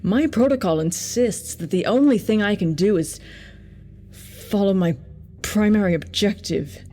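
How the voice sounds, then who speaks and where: A woman playing a bitter, guarded android assassin in a radio drama, speaking in a formal, grave and measured manner. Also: medium pitch, dark tone, a little hiss or hum, natural voice. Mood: bitter, resigned.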